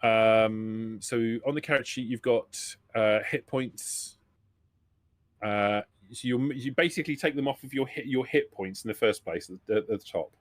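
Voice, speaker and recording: A man's voice: medium pitch, moderate pace, dry recording. A man acting as game master in a tabletop roleplaying game, talking through the rules step by step in an easygoing way.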